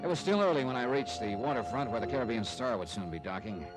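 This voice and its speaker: A man's voice: medium pitch, expressive, quick pace, close to an everyday voice. An actor playing a wry, sardonic investigator who narrates his case in a clipped old-time radio detective style. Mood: wry narration. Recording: vintage radio recording with music.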